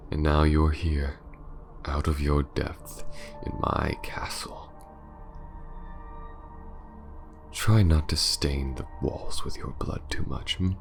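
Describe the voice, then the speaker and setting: An aristocratic male vampire with an extremely low, gravel-edged voice. He drawls slowly and pompously, sinking into breathy whispers as he smugly taunts his prey. It is an intimate, sinister ASMR roleplay over faint music. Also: heavily acted. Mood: mocking, then hungry, sinister.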